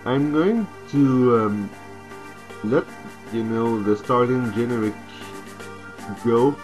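A native French speaker, a man with a French accent, gives casual, hesitant game commentary. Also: medium pitch. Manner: hesitant, halting delivery. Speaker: let's play host. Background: video game music.

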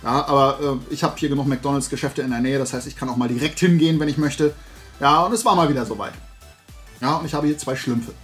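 A man's voice: mid-range voice, fast, soft background music. A middle-aged man, chatting casually and enthusiastically to his viewers as a video host. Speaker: YouTube host showing new toys. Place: indoor room with shelves of collectibles.

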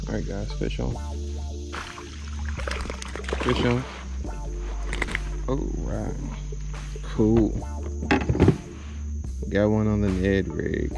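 A man's voice: lowish voice, steady tone, extremely slow, vocal fry, long pauses, over loud music, poor audio. A man casually narrating and chatting as a fishing vlogger, recorded outdoors. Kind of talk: casual vlog narration and chat. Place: outdoors on a kayak on a lake.

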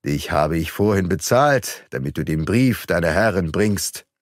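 A boastful gentleman thief who talks down to everyone with theatrical relish, as a male narrator puts him on. His voice jumps up and down in wide, lively swings. It is a dry, close audiobook reading. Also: low-pitched, measured pace, no echo, voice pushed down. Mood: mock-kindly. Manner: taunting, theatrical, mock-friendly.

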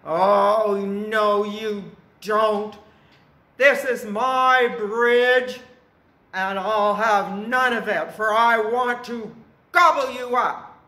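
A woman voicing a big, hungry, menacing male troll in a children's story, loud and drawing out the words. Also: mid-range voice, fairly even intonation, very slow, voice pushed up. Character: big bossy hungry male troll guarding his bridge. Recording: echoey room.

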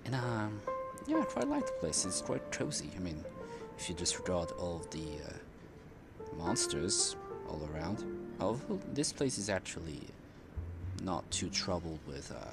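Man, deep voice, casual game commentary, hesitant, rambling, loud music behind. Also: huge pitch swings, a little slow. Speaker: game streamer.

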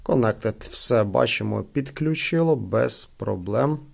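A man talks through an unboxing in a casual, unscripted way. His voice has a lot of fry in it, and he goes haltingly, thinking aloud. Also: medium pitch, expressive. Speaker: product reviewer.

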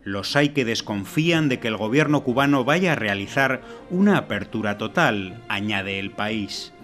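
A man reading a scripted news commentary in a measured, declarative way. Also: lowish voice, lively intonation, fairly bright tone, faint music behind. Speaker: news narrator.

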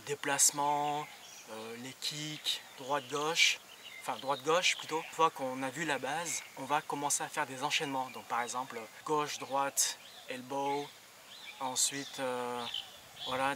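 A young man explains things in a casual vlog style. His mid-pitched voice moves haltingly as he searches for words. He sounds some way from the microphone, with loud noise around him. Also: distant mic. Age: young adult. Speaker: vlogger.

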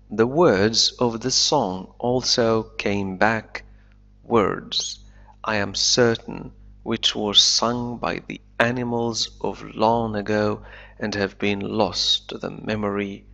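A man teaching English, reading a novel aloud slowly and deliberately and explaining it line by line, an Arabic speaker. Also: on the low side, fairly even intonation, gravelly, a bit bright. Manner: slow deliberate reading, then quick explanations. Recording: dull lo-fi recording.

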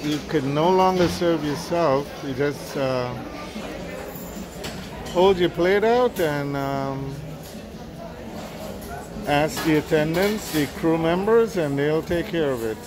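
A man narrating a casual walkthrough of a cruise ship buffet on his phone camera, relaxed, with frequent ums and uhs. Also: fairly high pitch, slow pace, pauses often, crowd noise. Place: large indoor ship buffet hall. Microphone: handheld phone camera.

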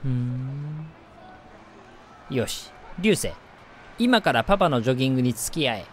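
A man playing a gruff, preachy father in a radio drama, speaking in a blunt, emphatic, declamatory way. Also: mid-range voice, expressive, a little slow, fairly bright tone, slight background noise. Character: gruff, preachy father lecturing his young son. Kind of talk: scripted radio drama narration and dialogue.

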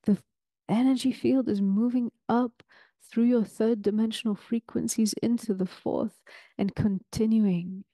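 A woman leading a guided meditation in a soothing, deliberate, ceremonial way, with long pauses between phrases. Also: fairly high pitch, fairly even intonation, warm tone, no echo.

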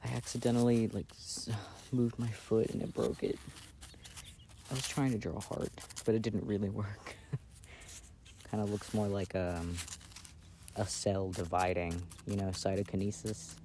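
A man playing an affectionate, playful boyfriend in a casual, intimate ASMR roleplay, speaking softly and hesitantly, recorded outdoors. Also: fairly low pitch, fairly even intonation, moderate pace, long pauses, natural voice. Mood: playful, teasing. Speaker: amateur audio roleplay performer. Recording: outdoor ambient noise.

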